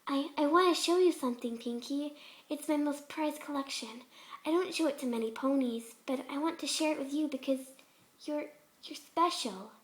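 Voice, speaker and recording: Woman as timid cartoon pegasus girl, high voice, gentle, polite, hesitant. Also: normal speed, lightly acted. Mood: shy, earnest. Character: shy, gentle, soft-spoken young female pegasus pony.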